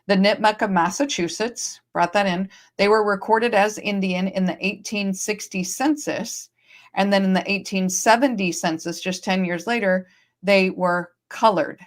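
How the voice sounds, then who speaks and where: A woman hosting a livestream, explaining points emphatically and repeating key phrases. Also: medium pitch. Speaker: host. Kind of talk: livestream discussion. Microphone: streaming setup.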